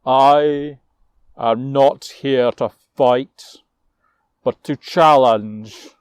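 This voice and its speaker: Man voicing an imposing, slow-spoken frost giant. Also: medium pitch, clear, resonant tone, no echo. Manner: slow, loud, declamatory. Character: imposing frost giant challenger, solemn and menacing.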